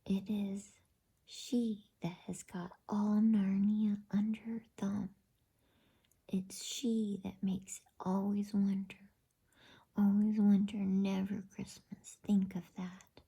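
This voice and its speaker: A woman's voice: mid-range voice, little pitch movement, slow pace, creaky, mellow, slightly rough, full character voice. Woman whispering as a sorrowful, guilt-ridden male fawn. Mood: ashamed. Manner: self-reproaching, groaning, lamenting. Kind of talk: whispered bedtime storybook reading.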